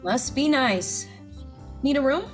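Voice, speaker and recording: Wry, higher-voiced hotel owner. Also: female voice, expressive, slow. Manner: casual, wry, plainspoken. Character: wry, jaded woman hotel part-owner, plainspoken. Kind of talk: scripted audio drama acting. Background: music.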